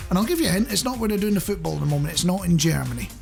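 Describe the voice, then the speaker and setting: A man's voice: high-pitched, expressive, very fast, vocal fry, over music. A man presenting a radio show in a chatty, rambling, jokey way, speaking directly to the listener.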